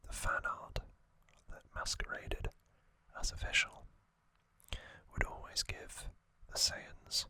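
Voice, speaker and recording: An ASMR host whispering casual trivia, hushed and unvoiced, musing with frequent ums. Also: slow pace, no room sound.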